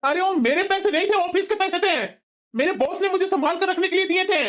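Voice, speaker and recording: A woman's voice: very high-pitched, quick pace. A woman voicing an exasperated, aggrieved young husband in a family cartoon, scolding, in a dull lo-fi recording. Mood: upset, demanding. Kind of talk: cartoon voice-over in family comedy drama.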